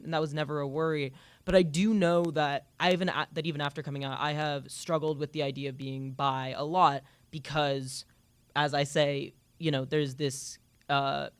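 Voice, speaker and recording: A man's voice: a little high, smooth, dry recording. Earnest teenage boy on a panel. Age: teens. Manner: earnest, reflective, with hesitant fillers. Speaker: student panelist. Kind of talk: panel discussion answer. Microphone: handheld microphone.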